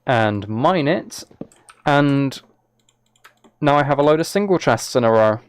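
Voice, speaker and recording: A man's voice: mid-range voice, expressive, unhurried, clear, resonant tone, no room sound. A man chatting casually on a live game stream, thinking aloud as he plays and talking to his viewers.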